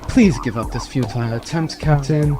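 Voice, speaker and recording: A man voicing a calm, formal spaceship artificial intelligence in a comic sci-fi audio drama, speaking in a measured, matter-of-fact way. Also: medium pitch, voice pushed down, close to an everyday voice. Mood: cold menace. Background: music.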